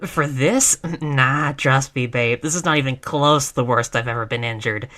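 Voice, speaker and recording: A woman's voice: rumbling low, moderate pace, fairly bright tone. A woman playing a tough, reckless girlfriend in a roleplay audio, brash but affectionate, with casual, sweary bravado. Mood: boastful, casual. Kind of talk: intimate roleplay audio acting.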